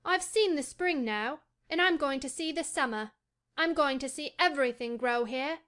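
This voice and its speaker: A woman plays a frail young boy in a storybook reading, his voice pitched high. He is excitable and imperious, speaking like a little invalid used to being obeyed. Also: moderate pace. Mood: eager, determined. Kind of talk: audiobook character voice.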